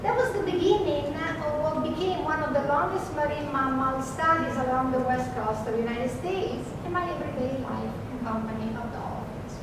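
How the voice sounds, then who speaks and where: A woman's voice: high-pitched, moderate pace, hardly any pauses. A woman with a strong Italian accent telling personal stories as a marine biologist, with many hesitant fillers. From Italy. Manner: hesitant, frequent "uh" fillers, lively storytelling. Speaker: marine biologist giving a talk. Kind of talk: personal storytelling talk. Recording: echoey hall, distant mic, background noise.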